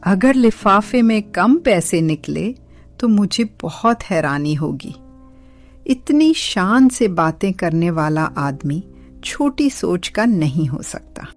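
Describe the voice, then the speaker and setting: Casting: woman as older village mother-in-law, lowish voice, very unhurried, sarcastic and demanding, cartoon drama with music underneath. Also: big pitch swings, full character voice. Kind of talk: Hindi cartoon moral story acting.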